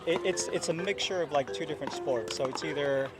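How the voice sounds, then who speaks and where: A man from the Philippines coaching a beginner on an outdoor pickleball court, talking in an encouraging way with halting restarts. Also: a little high, heavy background noise. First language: Bisaya and Tagalog. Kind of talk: casual instruction on a court.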